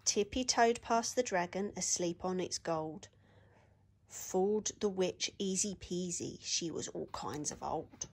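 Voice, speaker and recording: A woman reading a children's picture book aloud with expressive, sing-song rhyming delivery. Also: on the low side, a little slow.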